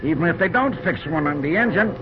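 A man's voice: fairly high pitch, expressive, quick pace, music in the background, close to an everyday voice. An actor playing a wary, folksy American railroad fireman, a loyal drifter with slangy, conspiratorial talk, in an old-time radio drama. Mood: confiding, suspicious. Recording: dull, lo-fi old radio broadcast recording.

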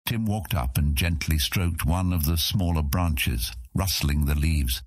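A man's voice: a bass voice, unhurried, husky, no room sound, studio quality. A man narrating a fantasy audiobook in a dramatic, scripted storytelling style.

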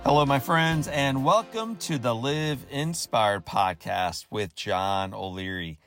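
A man's voice: mid-range voice, lively intonation, slow pace, a bit bright, faint music behind. A man hosting an inspirational podcast, speaking in an earnest, emphatic, motivational way. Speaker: podcast host.

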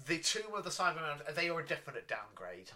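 Man chatting with a bright, forceful voice. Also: fairly high pitch, very animated, fairly quick. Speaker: podcast co-host. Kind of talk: casual chat reviewing a TV show.

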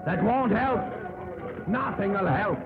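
An actor playing a deferential but anxious ship's first officer in 1950s radio drama style, earnest and emphatic. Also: male voice, high voice, lively intonation, slow pace, creaky, exaggerated voice. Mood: alarmed. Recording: tinny, hissy 1950s radio broadcast.

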